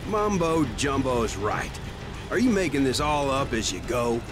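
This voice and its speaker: An actor playing a skeptical saloon patron in a Western game, challenging and sarcastic. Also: male voice, medium pitch, very animated, measured pace, music in the background.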